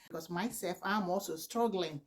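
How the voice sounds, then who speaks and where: A woman in her forties speaking with a Nigerian accent, her first language Igbo. Her voice sits a little low, and she pleads earnestly, restarting her sentences often. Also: normal speed. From southeastern Nigeria. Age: 40s. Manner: earnest and pleading, with repeated "please" and frequent restarts. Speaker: vlogger, mother of four. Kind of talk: earnest advice talk to camera.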